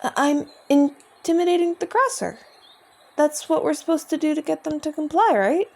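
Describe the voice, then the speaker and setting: A woman's voice: high voice, lively intonation, normal speed, creaky, darkish tone. A woman playing an officious, easily flustered orc border guard in a fantasy audio roleplay, formal and earnest in delivery. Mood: flustered, protesting. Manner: formal, earnest, over-dramatic.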